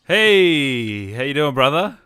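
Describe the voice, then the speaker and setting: A man's voice: medium pitch, very animated, slow pace, bright, forceful tone, clean tone, no room sound, clean studio sound. A man hosting a live stream, chatting casually and addressing people in a chummy, informal way. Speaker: streamer host.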